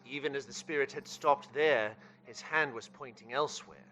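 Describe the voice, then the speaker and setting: A man narrating a scripted radio play with measured, dramatic storytelling. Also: medium pitch, sharp tone.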